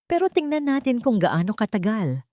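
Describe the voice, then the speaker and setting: An angry, menacing man who holds people captive , acted by a woman storyteller. He throws out threats and demands in a raised pitch. It is audiobook drama with a dry sound. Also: sing-song, moderate pace, warm tone, dry recording. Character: angry, menacing male villain and captor.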